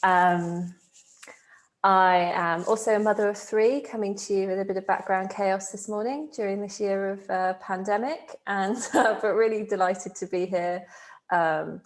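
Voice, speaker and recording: Woman with a gravelly, creaky voice. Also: medium pitch, flat delivery, normal speed. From United Kingdom. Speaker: panelist.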